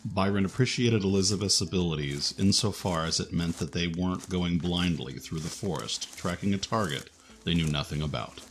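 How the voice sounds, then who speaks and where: A man reading scripted narration for a fantasy adventure story. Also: deep voice.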